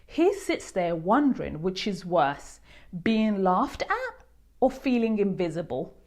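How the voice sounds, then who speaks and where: A woman reading a children's picture book aloud as a host, with expressive, deliberate storytelling. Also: mid-range voice. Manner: animated, deliberate delivery for children.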